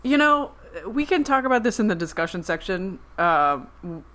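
A woman co-hosting a podcast in casual chat, her voice husky from a cold, rambling and correcting herself as she goes. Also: medium pitch, big pitch swings, moderate pace, sharp tone. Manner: rambling, self-correcting, laughing often. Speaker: podcast co-host. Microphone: remote call.

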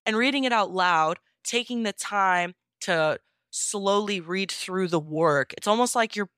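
A woman's voice: medium pitch, measured pace, a bit bright, clean tone, no room sound, clean studio sound. A woman speaking as an interview guest, answering casually and reflectively in an earnest, appreciative way. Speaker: interview guest, amateur audiobook-style fan fiction reader.

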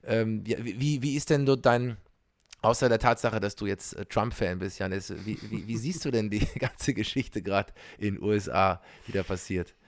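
Male podcast co-host, mid pitch, chatty and laughing, dry close mic. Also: normal speed, no room sound. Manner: chatty, many fillers and restarts, laughs often. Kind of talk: casual remote podcast chat. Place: home, recording remotely.

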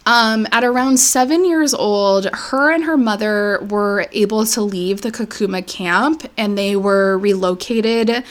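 A woman's voice: medium pitch, a little slow, few pauses. A woman co-hosting a podcast, casually retelling a life story with lots of fillers. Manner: relaxed, filler-heavy retelling. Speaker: podcast co-host.

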